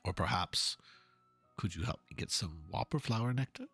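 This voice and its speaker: Man playing theatrical painter with wide-swooping pitch. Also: expressive, creaky, a bit bright, husky, faint music behind, full character voice. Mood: pleading, polite. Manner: florid, dramatic, halting mid-thought. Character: theatrical, earnest male painter, pompous and fervent about art. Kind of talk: dramatic reading of game dialogue.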